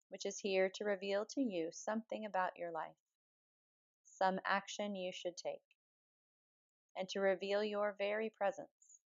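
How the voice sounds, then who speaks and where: A woman leading a guided yoga nidra relaxation as the teacher, speaking in a calm, measured, soothing manner. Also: mid-range voice, muffled recording. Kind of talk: guided meditation, slow spoken relaxation instruction.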